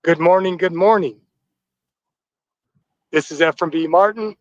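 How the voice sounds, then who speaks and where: Man, 50s, stuffy from flu, higher-pitched voice, upbeat and welcoming, dull dry lo-fi livestream sound. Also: a little slow, no room sound. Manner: upbeat, welcoming, addressing the fathers. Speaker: host of a livestream. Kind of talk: livestream address to viewers.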